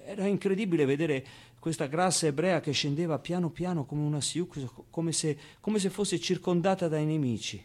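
A man acts out a young orphan boy telling his story in a theatre monologue. The voice sits a little high, with halting, colloquial phrasing and small interjections. He is candid and streetwise but tender, speaking straight to his listeners. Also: a little mellow, natural voice. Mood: hushed storytelling.

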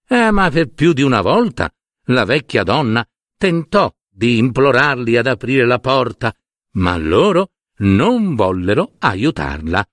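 A man tells a fairy tale as a dramatic storyteller. His pitch swings widely and his delivery is unhurried. The sound is dry and close. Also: fairly bright tone, no room sound.

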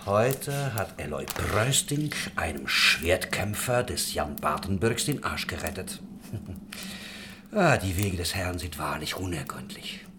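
A man's voice: deep voice, sing-song, slow pace, noisy background, exaggerated voice. An actor playing a worldly, idealistic craftsman who leads a religious commune, musing and talkative, in a German historical radio drama. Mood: wry, curious.

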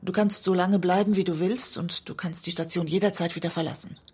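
An actress in a German radio drama playing an anguished woman in love with a self-destructive writer, often speaking in a hushed, breathy way. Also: fairly low pitch, fairly even intonation, a little fast. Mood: pleading, tense. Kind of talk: intimate German radio drama acting, part poetry.

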